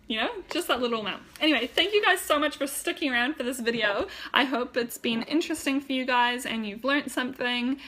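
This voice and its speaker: A woman's voice: sits high, fairly quick, sharp tone, a little hiss or hum. A woman whose first language is German, chatting casually and rambling to viewers on a live stream, with frequent fillers and restarts. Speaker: wellness vlogger.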